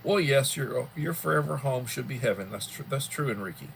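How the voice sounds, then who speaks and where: A man in his early sixties hosting a casual livestream from home, rambling and filling pauses often. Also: medium pitch, expressive, gravelly, a little hiss or hum. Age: 60s. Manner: rambling, with many fillers. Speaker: livestream host.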